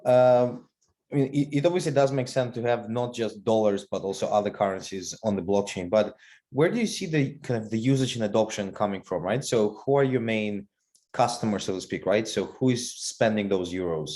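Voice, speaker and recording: A man's voice: lowish voice, a little fast, warm tone. A man hosting a podcast interview, talking conversationally with frequent fillers. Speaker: podcast host. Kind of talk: casual interview talk.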